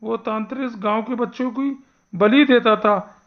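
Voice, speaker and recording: A woman playing an earnest, anxious male village headman in an animated horror story, pleading as she speaks. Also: on the high side, normal speed, dull audio, close to an everyday voice. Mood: fearful, pleading. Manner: earnest, imploring.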